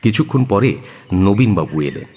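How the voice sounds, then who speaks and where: A man's voice: fairly low pitch, very animated, normal speed, a little mellow, husky. A man narrating a dramatised audio story as the storyteller. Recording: long hall-like reverb.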